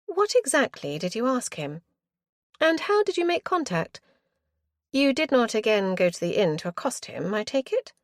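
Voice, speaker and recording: A brisk Regency aunt, formal and tart, her pitch lively as she questions, voiced by a woman reading a novel aloud. The storybook recording is close and dry. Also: dry recording.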